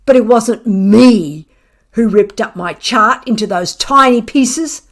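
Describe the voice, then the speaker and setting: A woman's voice: on the high side, animated, slow pace, exaggerated voice. A woman voicing a smug, scornful pet cat who tells its tale with dramatic, sardonic emphasis in a children's audiobook. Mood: scornful, indignant.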